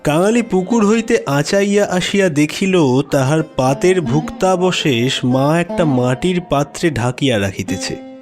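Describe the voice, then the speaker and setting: A male storyteller reads literary prose in a slightly high voice. His delivery is very unhurried, measured and expressive, and music plays clearly behind him. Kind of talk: dramatic scripted narration of a classic literary story.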